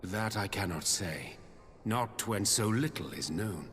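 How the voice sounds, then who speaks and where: Deep, solemn archaic scholar, reverberant. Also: male voice, animated, unhurried, fairly bright tone. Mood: grave, pensive. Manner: formal archaic diction, pensive. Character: grave archaic-speaking male scholar, courtly and measured. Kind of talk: video game voice acting. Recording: long cavernous reverb, music underneath.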